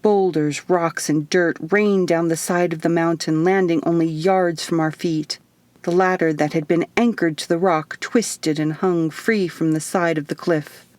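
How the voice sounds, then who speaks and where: A woman's voice: on the low side, steady tone, measured pace, darkish tone, no room sound. A woman reading scripted first-person audiobook narration as a storyteller. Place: dry, quiet recording space.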